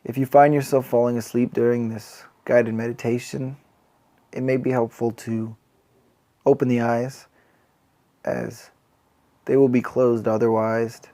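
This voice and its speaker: A man with a mid-pitched voice leads a guided meditation. His delivery is very even and barely moves in pitch. He speaks gently and calmly, leaving long, unhurried pauses between phrases. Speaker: mindfulness teacher. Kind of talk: guided body scan meditation.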